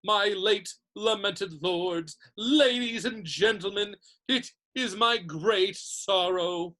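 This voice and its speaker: A mournful gentleman ghost from a storybook, played by a narrator. He is proud and easily wounded, speaking stiffly and formally with some pomp. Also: medium pitch, slow, pitched above the performer's own voice. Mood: grand, formal.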